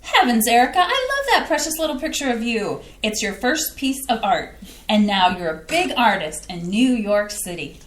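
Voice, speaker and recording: A woman's voice: a little high, sing-song, normal speed, a little hiss or hum. An actress playing a fussy, affectionate small-town mother who gushes and flusters, in a staged play reading. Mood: fond. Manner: flustered, gushing, exclamatory. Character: warm fussy small-town mother, middle-aged woman. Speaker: actor playing Claire, the mother. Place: community playhouse stage. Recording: echoey stage from a distance.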